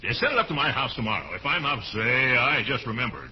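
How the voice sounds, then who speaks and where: An actor playing a blustery, jovial, thrifty middle-aged bachelor uncle in a 1940s radio comedy, with a drawn-out delivery. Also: male voice, medium pitch, very animated, lightly acted. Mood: haggling. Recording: dull, hissy vintage radio recording.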